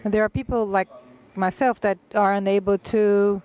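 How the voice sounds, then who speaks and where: Halting live panel interpreter. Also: mid-range voice, fairly even intonation, a little mellow. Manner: halting, many filled pauses and restarts, uneven pace.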